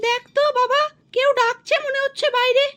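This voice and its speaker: A woman's voice: extremely high pitch, flat delivery, brisk, sharp tone. A woman voicing an exasperated, complaining mother in a comedy cartoon. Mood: calling. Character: grumbling, exasperated mother cooking at home.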